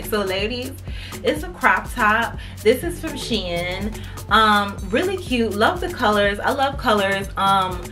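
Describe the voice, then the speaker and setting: Young woman, fashion vlogger, chatty and emphatic, mid-pitched voice, faint music underneath. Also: pushed and bright. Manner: chatty, emphatic, addresses viewers as y'all. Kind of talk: casual try-on haul chat. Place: indoors in front of a painted wood backdrop.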